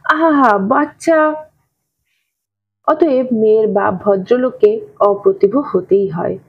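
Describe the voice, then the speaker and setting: A woman's voice: medium pitch, gravelly, husky, lo-fi sound. A woman narrator reading a literary short story expressively from a script.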